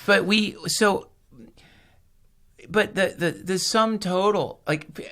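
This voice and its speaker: A man's voice: sits high, slow pace, pushed and bright. A man from the United States, a podcast host, rambling in casual chat with profanity and emphatic points. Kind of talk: casual conversation.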